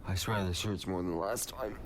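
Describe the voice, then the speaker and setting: A man's voice: deep voice, fairly even intonation, normal speed, close to an everyday voice. A man playing a daring, quippy time-travelling hero in a sci-fi audio drama, wry and flippant. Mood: strained resolve. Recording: loud music under the voice.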